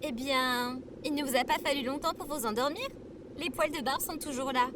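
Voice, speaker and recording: A woman playing a caring, innocent companion in a French audio drama, polite, soothing and expressive. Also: high-pitched, moderate pace, background noise, lightly acted. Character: caring, innocent female companion, brightly enthusiastic. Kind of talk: scripted French audio fiction acting.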